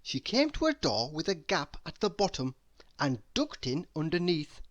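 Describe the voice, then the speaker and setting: A narrator reading a children's story aloud in a deliberate, expressive storytelling manner. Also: mid-range voice, lo-fi sound. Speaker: storybook reader.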